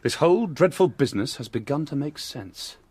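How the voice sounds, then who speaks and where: An actor playing a brisk, self-assured and imperious French detective with a dry wit in a radio drama. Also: male voice, on the high side, lively intonation, a little mellow, close to an everyday voice. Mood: pensive, assured. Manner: dryly witty, commanding, theatrical delivery.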